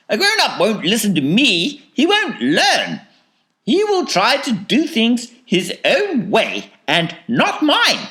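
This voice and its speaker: An eccentric old male candy maker bursts with enthusiasm, as a woman reads him aloud. His voice sits high and bright, leaping up and down in pitch with each exclamation. The storybook reading is unhurried. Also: heavily acted.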